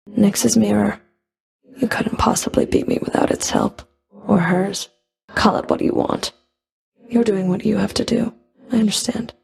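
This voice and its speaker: A proud, contemptuous Fury from a Greek underworld video game. The voice is female, mid-pitched and thick with vocal fry. Lines come clipped and controlled, with stern, cool disdain. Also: a little mellow. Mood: proud, cold. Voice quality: gravelly, creaky. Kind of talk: scripted video game character dialogue.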